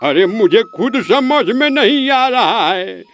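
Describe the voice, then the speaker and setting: Man playing a high, slow-talking village priest. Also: lively intonation, fairly bright tone, soft background music, full character voice. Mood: explaining, weary. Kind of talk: Hindi moral cartoon voice acting.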